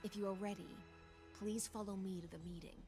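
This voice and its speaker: A woman's voice: medium pitch, steady tone, brisk, close to an everyday voice. A woman voicing a courtly female knight commander in fantasy game dialogue, ceremonious and formal. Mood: courteous. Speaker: video game voice actor. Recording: music under the voice.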